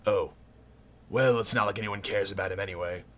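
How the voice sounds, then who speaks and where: A man's voice: fairly low pitch, steady tone, fairly quick, a bit bright, slight background noise, close to an everyday voice. A man voicing an arrogant, impatient alien invader in a parody anime dub, blunt, callous and mocking. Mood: smug.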